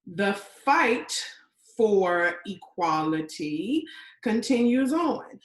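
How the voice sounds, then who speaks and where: A woman acting a guarded, firm character in a live staged play reading, with deliberate, emphatic phrasing over a video call. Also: medium pitch, very animated, echoey room. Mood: firm, defiant. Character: guarded, firm woman confronting an acquaintance over racial politics. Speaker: actor in a virtual staged reading. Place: indoor room, streamed over video webinar.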